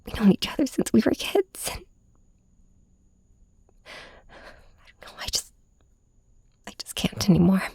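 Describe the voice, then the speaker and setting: A heartbroken young superhero, acted by a woman, confides in a nemesis in an intimate audio drama. The voice is breathy and often sinks into a whisper, with creaky, frayed edges. The delivery is halting and emotionally raw, recorded close to the microphone. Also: mid-range voice, darkish tone, dry recording. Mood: hurt, pleading. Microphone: close microphone, dry.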